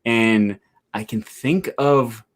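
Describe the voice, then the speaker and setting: A man hosting a casual interview over a video call, relaxed and hesitant with frequent ums. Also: mid-range voice, extremely slow. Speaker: interviewer host.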